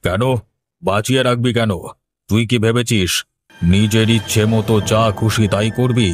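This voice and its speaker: A man's voice: on the low side, steady tone, moderate pace, faint music behind, natural voice. A man playing a stern, scheming middle-aged father and occultist in a horror cartoon, with a commanding, menacing manner. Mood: menacing, demanding. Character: stern, scheming father who raises ghosts by occult practice. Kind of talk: Bengali horror cartoon voice acting.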